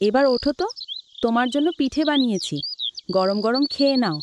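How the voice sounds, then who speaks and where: A woman acting a devoted, gentle but firm young village wife in a scripted cartoon drama, speaking tenderly and earnestly. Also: a little high, brisk, noisy background, close to an everyday voice. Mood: affectionate.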